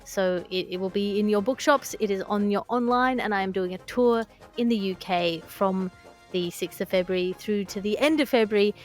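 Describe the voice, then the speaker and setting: A woman hosting a comedy news podcast, chatting casually and wrapping up with quick plugs. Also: medium pitch, soft background music. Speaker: podcast host.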